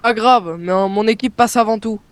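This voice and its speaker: A man's voice: high voice, moderate pace, clear, resonant tone. A man improvising in character in an online role-play game.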